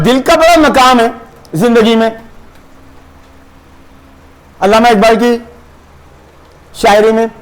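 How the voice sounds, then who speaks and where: Pakistani male lecturer, high voice, animated classroom delivery, mixes languages. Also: low-quality recording. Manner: animated, switches between Urdu and English, acts out students' voices.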